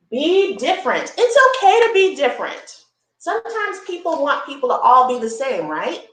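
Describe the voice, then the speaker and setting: A woman hosting a children's story time, reading a picture book aloud with expressive, encouraging asides and simple explanations. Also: high voice, reverberant, rough recording.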